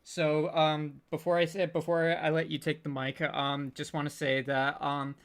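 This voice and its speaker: A host chatting casually on a live stream, halting and hesitant, often repeating words before getting to the point. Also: medium pitch, flat delivery. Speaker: panel host.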